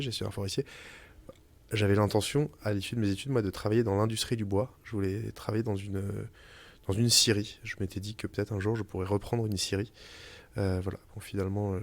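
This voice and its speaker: Young man, interviewee, halting speech, deep voice, flat delivery. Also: dry recording. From France. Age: young adult. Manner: hesitant, many fillers and restarts. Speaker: interviewee, company founder. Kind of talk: casual interview. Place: family house in the countryside.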